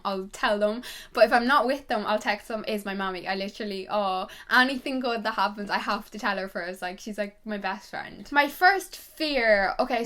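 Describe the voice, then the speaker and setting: A young woman from Ireland with an Irish accent tells personal stories to her viewers in a chatty, animated way, full of "like" and "literally.". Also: medium pitch. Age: young adult. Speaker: YouTuber. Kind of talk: chatty tag video storytelling.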